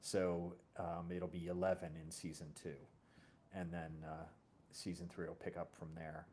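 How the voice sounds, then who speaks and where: A man hosting a casual conversation as a series creator, thinking aloud in a halting way and often correcting himself. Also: deep voice, monotone, darkish tone, slight background noise. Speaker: host and audio drama series creator. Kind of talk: casual interview chat.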